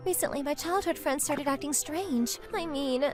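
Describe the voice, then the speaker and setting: A woman's voice: high voice, normal speed, lightly acted. A woman voicing a possessive, passionate young woman in a manga dub, gushing and melodramatic as she narrates. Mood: worried. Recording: music under the voice.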